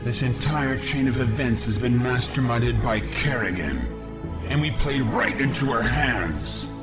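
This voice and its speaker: A man's voice: mid-range voice, lightly acted. Man playing an earnest young alien commander. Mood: troubled. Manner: earnest, deliberate. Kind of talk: video game voice acting. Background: game music.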